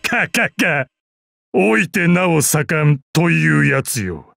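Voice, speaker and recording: An actor playing a proud, battle-hardened old martial arts master in a game, with gruff dignity, dry amusement and archaic old-man speech. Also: a little high, lively intonation, takes it slowly, fairly bright tone, no room sound, faint music behind, full character voice. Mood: amused, resolute. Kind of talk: Japanese mobile game character voice lines.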